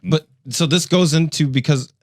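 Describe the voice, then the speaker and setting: A man's voice: on the high side, moderate pace, no echo, clean studio sound. A man co-hosting a podcast in casual, conversational chat. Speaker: podcast co-host.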